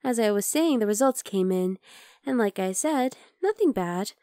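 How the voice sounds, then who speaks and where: A woman's voice: mid-range voice, expressive, moderate pace, no room sound, clean studio sound, close to an everyday voice. A woman playing an obsessive, chatty vampire lab worker in an ASMR roleplay, coaxing and teasing the listener. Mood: coy, chatty. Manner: conversational, teasing, coaxing, with soft asides.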